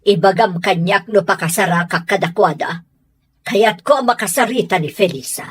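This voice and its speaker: A woman's voice: mid-range voice, normal speed, husky, close to an everyday voice. A Filipino woman playing a stern middle-aged aunt in a radio drama, pressing and disdainful in her delivery. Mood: insistent, disapproving. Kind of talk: Ilocano radio drama acting.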